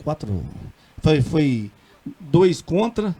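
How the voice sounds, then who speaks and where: Emphatic high-voiced councilman from Minas Gerais. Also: big pitch swings, dark tone, slight background noise. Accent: Minas Gerais Portuguese. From Brazil. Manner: earnest, emphatic, halting with restarts. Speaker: city councilman. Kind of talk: radio interview.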